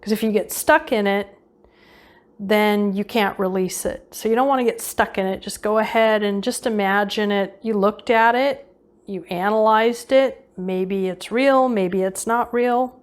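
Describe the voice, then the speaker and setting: A woman's voice: medium pitch, a little slow. A woman leading an unscripted guided visualization meditation, giving casual instructions and often repeating her phrases.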